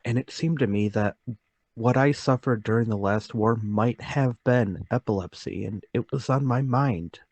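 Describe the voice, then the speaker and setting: A man playing an earnest, troubled but determined accused man in a radio play table read, speaking deliberately. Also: medium pitch, a little mellow, no room sound, lightly acted.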